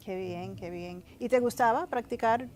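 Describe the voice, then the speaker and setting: A woman's voice: a little high, normal speed, darkish tone, slight background noise. A woman hosting a conversational TV interview in a chatty, encouraging way, often adding short reactions. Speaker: TV interview host.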